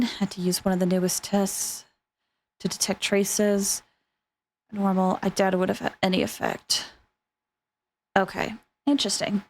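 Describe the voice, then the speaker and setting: A woman streaming a game with casual, exclamatory commentary, often musing aloud. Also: medium pitch. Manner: animated, exclamatory, muses aloud. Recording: faint game music behind.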